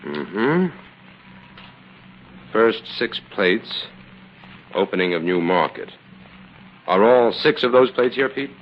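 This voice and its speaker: An actor playing a shrewd, sceptical investigator with a probing, unhurried manner, in 1940s radio style. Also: male voice, fairly low pitch, animated. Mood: calm, probing. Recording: muffled, faintly noisy old radio recording.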